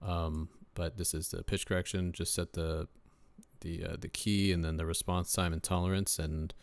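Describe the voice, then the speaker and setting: A deep-voiced young man, a rapper casually walking through his mixing setup in a relaxed way, with lots of ums and uhs. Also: moderate pace, creaky, dry recording. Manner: laid-back, lots of uh and um fillers. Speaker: rapper and home mixing engineer. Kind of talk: casual screen-share mixing tutorial.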